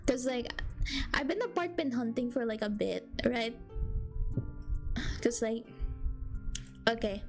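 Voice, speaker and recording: A young Filipino woman with a high, bright-pitched voice and a Filipino accent, talking casually to her live chat. She rambles, teases, and giggles easily, while soft music plays quietly behind her. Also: lively intonation, slow, long pauses. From Philippines. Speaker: streamer.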